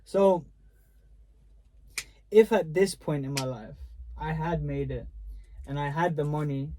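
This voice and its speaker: A young man hosts a podcast in loose, slangy banter and swears casually. His voice sits a little high yet sounds warm and mellow, and the tone stays easy throughout. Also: takes it slowly, a little hiss or hum. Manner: laid-back slangy banter, swearing freely. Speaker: podcast host.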